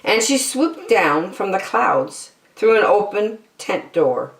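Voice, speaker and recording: A woman storyteller reading a children's storybook expressively, with dramatic, deliberate phrasing. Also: mid-range voice, big pitch swings, creaky. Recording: roomy echo.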